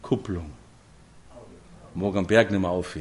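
A man's voice: sits low, steady tone, takes it slowly. A man, preaching a sermon to a congregation and telling stories with direct questions to his listeners. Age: 50s.

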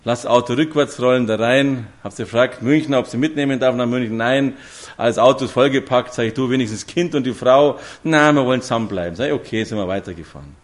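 A man's voice: medium pitch, fast talker. A man, preaching a sermon to a congregation and telling stories with direct questions to his listeners. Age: 50s.

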